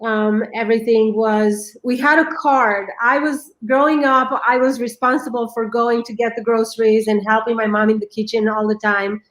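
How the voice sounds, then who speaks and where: A woman in her forties from Romania with a Romanian accent, telling her life story as a guest in an earnest, halting way. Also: on the high side, fairly even intonation, roomy sound, poor audio. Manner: earnest, halting, many restarts. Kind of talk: personal storytelling.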